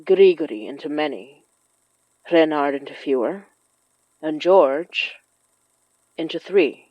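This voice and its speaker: A woman narrating a scripted horror story in a measured, deliberate way with dramatic pauses. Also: low voice, expressive, no room sound, highs cut off. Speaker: narrator of horror fiction podcast.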